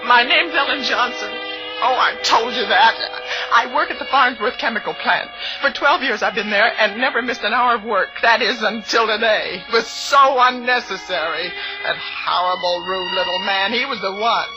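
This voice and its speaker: An actress playing a fussy, anxious middle-aged spinster, precise and indignant, in 1940s radio suspense style. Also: female voice, fairly high pitch, moderate pace, natural voice. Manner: precise, indignant, fretful, breaking into cries. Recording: muffled old radio broadcast with music.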